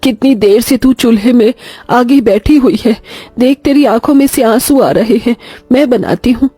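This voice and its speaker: Woman voicing a tender, husky older mother-in-law. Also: a little high, normal speed, warm tone, no room sound. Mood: concerned. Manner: tender, emotional. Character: loving, emotional older mother-in-law, gentle and concerned. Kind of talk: dramatized moral storytelling.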